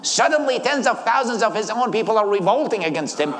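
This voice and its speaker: An Iraqi man in his thirties delivers a personal testimony like a sermon. His voice sits extremely high for a man and carries an Iraqi accent. The recording is echoey, with the long reverb of a big hall. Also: animated, a little fast, fairly bright tone. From Iraq. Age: 30s. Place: large hall, live talk.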